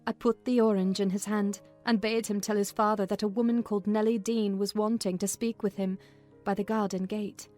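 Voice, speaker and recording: A woman giving an expressive scripted narration of a classic novel. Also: medium pitch, normal speed. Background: music.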